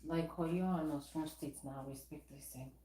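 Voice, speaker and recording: A Nigerian woman from Oyo State with a Yoruba accent, whose first language is Yoruba. She chats casually and explains things slowly with examples. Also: low-pitched, fairly even intonation, warm tone. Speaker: salon owner. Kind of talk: casual chat. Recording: echoey room.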